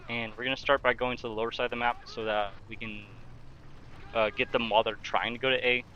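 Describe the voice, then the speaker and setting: A man's voice: medium pitch, little pitch movement, quick pace, dull audio, slight background noise. A young man livestreaming a game, chatting casually, reading out chat questions and answering them while he talks through his play. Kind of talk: casual live-stream chat while gaming.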